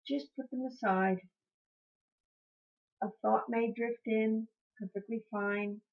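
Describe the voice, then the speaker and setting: Slow-paced woman guiding a meditation. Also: on the high side, steady tone. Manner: soothing, encouraging, repeats phrases gently. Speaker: meditation teacher. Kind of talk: guided meditation. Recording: muffled, dull lo-fi sound.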